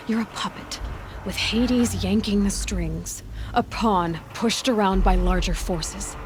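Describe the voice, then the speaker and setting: An actress playing a fearless young huntress in a video game, speaking with dry, scornful sarcasm in a naturalistic style. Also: female voice, medium pitch, background noise, close to an everyday voice. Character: defiant young woman hunter, sardonic and fearless. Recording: loud music under the voice.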